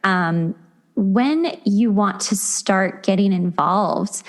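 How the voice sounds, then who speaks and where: A woman's voice: medium pitch, very slow. A woman hosting a podcast in a casual, conversational interview style, effusive and informal. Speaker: podcast host.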